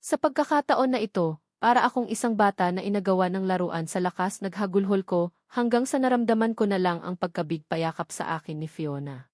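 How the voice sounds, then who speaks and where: A woman's voice: medium pitch, fairly quick, darkish tone, no room sound. Filipino woman narrating a novel, dry sound. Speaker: audiobook narrator. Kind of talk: dramatic first-person novel narration.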